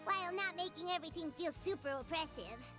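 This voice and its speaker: A woman's voice: very high-pitched, fairly quick. A woman voicing a tiny, chirpy fairy companion girl in a video game, who speaks of herself in the third person. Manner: bubbly, exclaiming. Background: loud game music.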